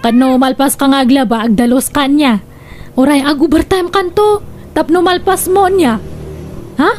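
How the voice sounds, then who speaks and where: A woman's voice: high voice, moderate pace, darkish tone, close to an everyday voice. A woman playing a bossy, demanding employer in a radio drama, nagging with an Ilocano accent. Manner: commanding, nagging. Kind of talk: Filipino radio drama acting.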